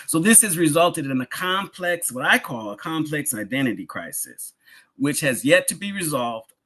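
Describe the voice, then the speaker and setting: A man's voice: fairly high pitch, bright, forceful tone. An American man giving a sermon-like public address, speaking in an emphatic yet conversational way with repetition and rhetorical questions. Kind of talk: sermon-like lecture to a congregation.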